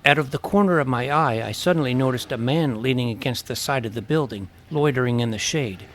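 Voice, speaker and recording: A man's voice: mid-range voice, fairly quick, slight background noise, lightly acted. An actor playing a wry twelve-year-old boy detective who narrates his own adventure in an audio drama. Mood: wry, watchful. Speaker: narrator and lead. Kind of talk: scripted first-person story narration. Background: clear music.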